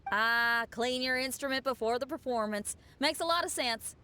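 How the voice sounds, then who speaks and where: A woman's voice: high-pitched, normal speed, pushed and bright, a little hiss or hum. A woman playing a friendly, easygoing young musician who is encouraging, in a video game. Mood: upbeat.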